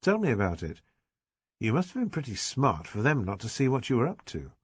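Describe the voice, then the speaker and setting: A man's voice: medium pitch, wildly swooping pitch, fairly quick, husky, no echo. A man voicing a patient police detective, coaxing a child with friendly persuasion. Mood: questioning. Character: affable Scotland Yard detective, coaxing and patient.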